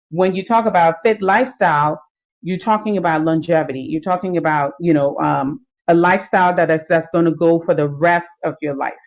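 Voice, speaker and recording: A woman's voice: on the low side, a little mellow, rough recording. A middle-aged woman giving a live stream talk on fitness and nutrition, explaining at an easy pace with many fillers. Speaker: health and fitness coach.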